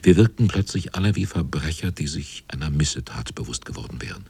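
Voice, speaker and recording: A brooding seaman narrating a radio drama. His voice is extremely low and husky in a dry studio sound, and the telling is grave and measured. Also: expressive, mellow, no room sound, full character voice. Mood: grave, tense. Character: brooding sailor narrator looking back on the crew.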